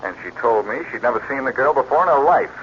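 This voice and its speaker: A man's voice: mid-range voice, fast talker, natural voice. A man playing a cool, sardonic reformed-thief detective in 1940s radio acting. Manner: cool, sardonic, lively delivery. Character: wry, confident reformed-thief sleuth. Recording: muffled old radio transfer with hiss.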